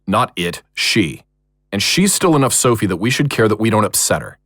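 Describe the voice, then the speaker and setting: An actor playing a protective, level-headed team leader in an audio drama, calm, firm and reassuring. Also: male voice, on the low side, normal speed, no echo, clean studio sound. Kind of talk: naturalistic audio drama acting.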